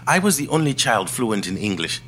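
Middle-aged man, quiet thinker, reflective audio drama monologue, measured phrasing, varied melodic pitch, faint background noise. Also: big pitch swings. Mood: grave, measured. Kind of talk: scripted first-person monologue, audio drama storytelling.

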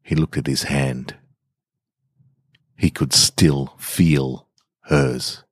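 Very deep, slow male storyteller. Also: steady tone, slightly rough, no room sound, clean studio sound. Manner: measured, deliberate, with weighty pauses. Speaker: storyteller reading short fiction on a podcast. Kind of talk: dramatic scripted narration of short stories.

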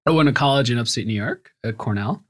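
A young man from Venezuela speaks as a founder on a podcast, reflective and easygoing with a light touch of humor. His voice sits at a middling pitch. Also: quick pace, fairly bright tone. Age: young adult. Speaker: startup founder and guest. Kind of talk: casual interview.

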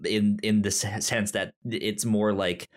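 Low-voiced man with a stutter. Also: little pitch movement, unhurried. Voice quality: frequent stutter, repeating sounds and syllables. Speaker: podcast co-host. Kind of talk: casual movie review chat.

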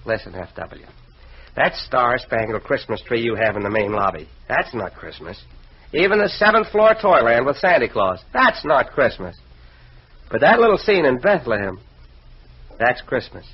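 An American actor playing a genial, excitable and dreamy department store manager in an old-time radio drama, earnest and expressive. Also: male voice, medium pitch, normal speed, husky. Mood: firm, earnest. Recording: muffled, noisy old radio recording.

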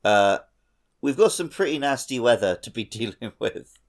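A man's voice: medium pitch, animated, normal speed. A man co-hosting a gaming podcast, explaining things in an easygoing, casual way. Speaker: podcast co-host.

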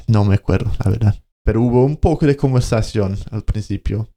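A man's voice: fairly low pitch, moderate pace, warm tone. A man co-hosting a podcast, telling a story in casual conversation, hesitating and searching for words as he goes. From Colombia. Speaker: podcast co-host.

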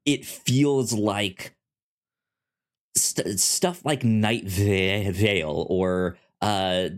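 A man co-hosting a podcast, reviewing a show in casual chat, talking haltingly with frequent stammers and restarts. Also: lowish voice. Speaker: podcast co-host.

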